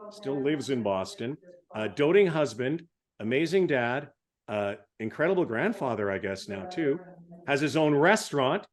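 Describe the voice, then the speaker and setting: A man hosting a podcast interview, introducing his guest in a playful, teasing way. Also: fairly high pitch. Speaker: podcast host.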